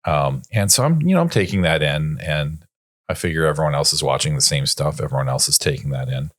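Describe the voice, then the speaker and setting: A man in his late forties chatting reflectively as a podcast co-host, thinking aloud in a wry, halting way. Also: a bass voice, animated, no room sound, clean studio sound. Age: late 40s. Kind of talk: casual reflective panel chat.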